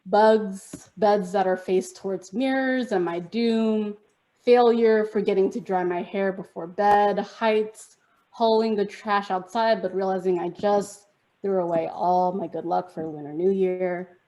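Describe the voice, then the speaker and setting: A young woman performs her spoken word poem over a video call, her voice mellow and dark-toned. She keeps her pitch level and even, speaking in an intimate, measured way that settles toward a hush. The pacing feels deliberate, each line given weight. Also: low-quality recording.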